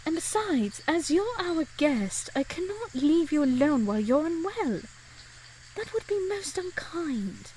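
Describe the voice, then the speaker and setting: A woman acting a caring, earnest young gentlewoman of old Vienna, speaking formally and apologetically in a period audio drama. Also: high-pitched, very animated, unhurried, a little hiss or hum, rough recording. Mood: firm, earnest. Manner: formal, genteel, apologetic speech.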